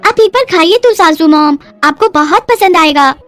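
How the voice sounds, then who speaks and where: A woman acts out a cheerful young bride in a moral-story cartoon. Her voice is very high, and she mangles her grammar in a slow sing-song over faint music. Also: expressive, fairly bright tone. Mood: cheerfully insistent. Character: foreign young bride, cheerful, clueless, speaking broken Hindi.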